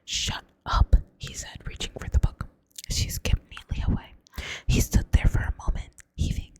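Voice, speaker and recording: A woman with a Southern US accent reading a novel aloud in a soft whisper, now and then stumbling over words and correcting herself. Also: normal speed. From Southern United States. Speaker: reader.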